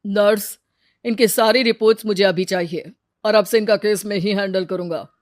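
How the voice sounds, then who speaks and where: A woman's voice: mid-range voice, steady tone, normal speed, no echo, studio quality, natural voice. A woman voicing a resolute, devoted male doctor who speaks with authority in a cartoon story. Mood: firm.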